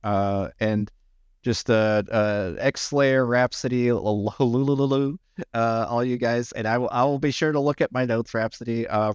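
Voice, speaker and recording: A man chatting casually to his livestream viewers, rambling with many restarts and sounding slightly hoarse after hours of talking. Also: on the low side, dry recording, clean studio sound. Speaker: livestreamer and AI art tutorial maker.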